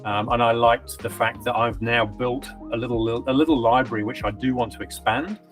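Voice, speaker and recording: A man with a British accent softened by years in New Zealand, giving a client testimonial in a reflective, hesitant way, with frequent ums and restarts. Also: medium pitch, fairly even intonation, moderate pace, faint music behind. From Britain. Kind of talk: conversational testimonial interview.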